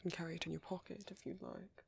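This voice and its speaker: A British man playing a gentle, caring vampire priest in an ASMR roleplay, speaking softly, hesitantly and politely in a breathy, whispery voice up close. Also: high voice, little pitch movement, very fast, slight background noise. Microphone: close microphone.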